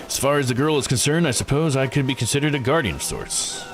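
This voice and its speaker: A man voicing a composed, dry-witted seeker of legends in a visual novel, with measured, formal manner. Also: medium pitch, expressive, noisy background, natural voice. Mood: composed, reflective. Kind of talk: visual novel character read aloud. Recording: game music under the voice.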